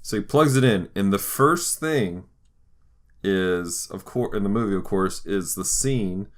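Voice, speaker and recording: A man with a low voice hosts a podcast. He talks in a casual, chatty style, as in conversation with a co-host. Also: unhurried. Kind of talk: casual chat.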